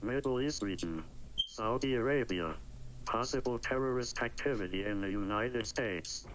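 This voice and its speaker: A man voicing a cold, ominous supercomputer in an analog horror series, speaking in flat, clinical statements. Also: medium pitch, moderate pace, a little hiss or hum, close to an everyday voice, rough recording. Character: cold, all-knowing supercomputer oracle, ominous and matter-of-fact.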